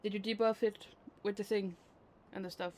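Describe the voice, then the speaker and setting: A woman's voice: medium pitch, fairly even intonation, moderate pace, darkish tone. A woman streaming a game, giving casual, rambling and playful live commentary. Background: game music.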